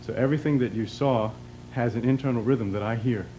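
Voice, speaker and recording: A man's voice: mid-range voice, brisk, mellow, slight background noise, poor audio. A man teaching pantomime movement, giving short, encouraging, repeated cues as he demonstrates. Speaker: pantomime performer and teacher, guest.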